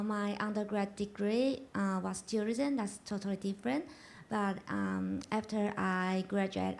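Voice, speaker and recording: Halting woman with gravelly voice. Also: mid-range voice, darkish tone, slight background noise. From Taiwan. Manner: hesitant, halting English. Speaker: student. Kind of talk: self-introduction.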